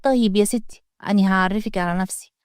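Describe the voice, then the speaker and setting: A woman's voice: mid-range voice, fast talker, smooth, dry recording, clean studio sound, natural voice. A woman voicing a chatty, eager young college girl with an Egyptian accent in a storybook reading. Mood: friendly. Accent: Egyptian Arabic.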